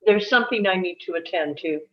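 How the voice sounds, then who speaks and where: A woman playing a calm, matter-of-fact psychiatric nurse giving a tour in a screenplay table read, speaking in a measured way. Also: fairly low pitch, lo-fi sound, lightly acted.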